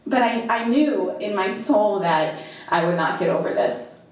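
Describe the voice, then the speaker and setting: Slow, echoey woman reading a dramatic monologue. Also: mid-range voice, animated. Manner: deliberate, halting delivery with short phrases and emphatic repetition. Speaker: reader performing a monologue. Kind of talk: dramatic first-person monologue reading at a live event. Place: indoor live performance in a club. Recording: very echoey live venue.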